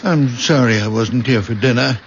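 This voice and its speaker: An actor playing a gentle, childlike old widower, soft-spoken and earnest, in an old-time radio drama. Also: male voice, medium pitch, normal speed, close to an everyday voice. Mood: mild, weary. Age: older. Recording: muffled, noisy old radio recording.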